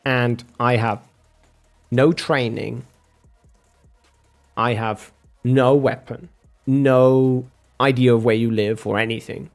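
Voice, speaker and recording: A man's voice: mid-range voice, steady tone, takes it slowly, long pauses, faint music behind. A man in a casual argumentative rant, sarcastic and sweary, calling the listener bro. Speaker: streamer arguing with a chat viewer.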